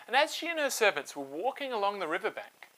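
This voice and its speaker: Male storyteller and host for children's narration: animated, bright, dramatic, clear phrasing. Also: high voice, fast, poor audio.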